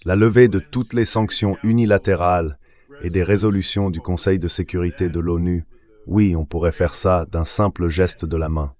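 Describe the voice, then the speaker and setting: A man's voice: low-pitched, fairly even intonation, normal speed, darkish tone, close to an everyday voice. A man's synthetic dubbing voice, speaking as a guest giving translated interview commentary.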